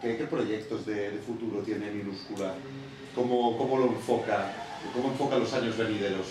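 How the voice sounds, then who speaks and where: A man's voice: on the low side, steady tone, moderate pace. A man hosting a public interview, asking a publisher questions and dropping short supportive interjections. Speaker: interviewer and event host. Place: bookshop event room. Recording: echoey hall, distant mic, noisy.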